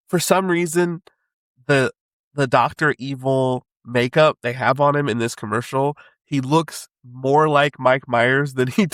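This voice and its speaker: A man co-hosting a podcast in casual chat, husky from a head cold, laid-back and rambling. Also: medium pitch, fairly bright tone, no room sound, clean studio sound. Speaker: podcast co-host.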